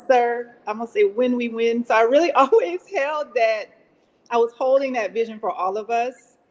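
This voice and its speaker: A woman guest telling a story casually in an interview, animated and acting out quoted remarks. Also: on the high side, low-quality recording. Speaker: executive producer and actress, interview guest.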